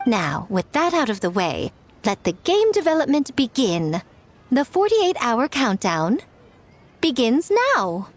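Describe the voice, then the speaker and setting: An actress playing a poised, sly festival organizer in an anime game, speaking with playful, leisurely teasing. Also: female voice, on the high side, big pitch swings, measured pace. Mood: formal, announcing.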